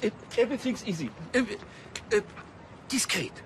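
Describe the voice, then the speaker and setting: Flustered high-pitched police chief. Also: male voice, big pitch swings, moderate pace, a little hiss or hum. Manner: stammering, fussy. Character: flustered, officious male police station chief, awkward with English. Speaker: actor in a TV crime comedy.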